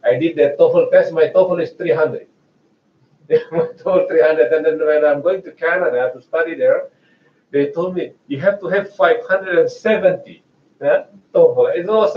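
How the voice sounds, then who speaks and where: A man's voice: a little high, moderate pace. A man in his forties with an Indonesian accent, giving a chatty research seminar lecture with hesitant restarts. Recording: echoey, distant, muffled room mic.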